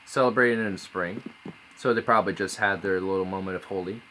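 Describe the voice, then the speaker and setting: Bright, low male voice for a loose, profane phone stream, faint hiss behind. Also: brisk, low-quality recording. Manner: rambling, laid-back, swears often. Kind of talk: casual live-stream chat.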